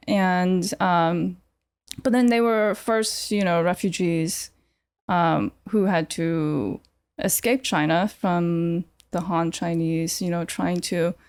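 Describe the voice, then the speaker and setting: A woman's voice: lowish voice, fairly even intonation, slow pace, darkish tone, smooth, no room sound. A woman with a Californian accent talking casually as an interview guest, explaining things in an informal, tentative way. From United States (California). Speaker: podcast guest, artist. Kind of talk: casual conversational interview answers.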